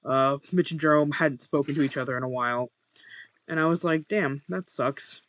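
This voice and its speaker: A young man with a monotone voice, chatting casually while playing a game and muttering to himself over his first recording. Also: on the high side, normal speed. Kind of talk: casual gameplay commentary.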